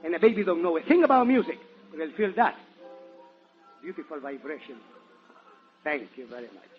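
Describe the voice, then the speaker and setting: A man's voice: on the high side, sing-song, fairly quick, faint music behind. A man giving a theatrical, playful comic monologue as a guest on a 1940s radio show. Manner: theatrical, playful, breaking into sung syllables and shouts. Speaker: guest comedian. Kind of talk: comic musical monologue to a live audience. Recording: noisy, muffled 1940s radio broadcast.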